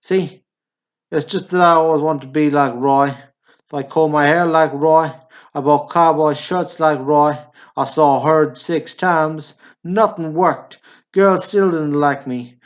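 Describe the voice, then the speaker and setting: A man acts out an awkward, nervous small-town fellow in a radio drama. His voice sits high, and he sounds earnest, pleading and easily worked up. The recording is a little dull and lo-fi. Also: fairly even intonation, takes it slowly. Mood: wistful, worked up. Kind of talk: stage-play acting in radio drama.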